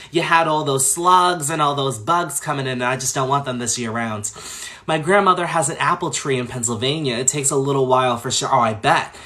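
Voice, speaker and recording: A man from Long Island, New York, chatting casually on a livestream as host, talking fast and gushing expressively as he answers viewers. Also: a little high, bright, forceful tone. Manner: fast talker.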